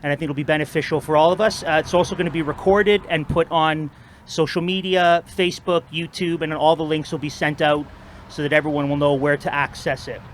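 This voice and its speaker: A man's voice: on the high side, steady tone, brisk, a little hiss or hum. A man moderating an informal outdoor debate, giving upbeat, rallying opening remarks to a crowd.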